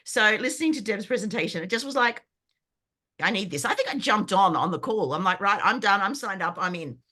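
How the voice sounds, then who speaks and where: A woman in her fifties, croaky from a sinus infection, chatting enthusiastically as a guest on a video call, with lots of fillers and restarts. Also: medium pitch, expressive, a little fast, sharp tone. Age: 50s. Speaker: brand foundations coach. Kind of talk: guest on an online group call.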